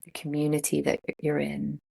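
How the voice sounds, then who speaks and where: A woman teaching a guided meditation, speaking gently in short phrases with long pauses between them. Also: deep voice, monotone, gravelly, breathy edge. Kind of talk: guided meditation, slow instruction.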